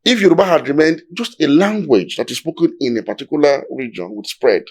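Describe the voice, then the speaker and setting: A man's voice: a little high, lively intonation, a little fast, husky. A Nigerian man with a Nigerian accent, explaining history in a discussion in an emphatic, lecturing way, building his points step by step.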